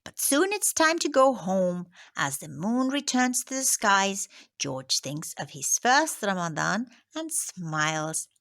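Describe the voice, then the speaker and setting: Slow, animated woman reading to children. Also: medium pitch. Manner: slow, expressive, drops into whispers on headings and asides. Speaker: teacher reading a picture book. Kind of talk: children's story read-aloud.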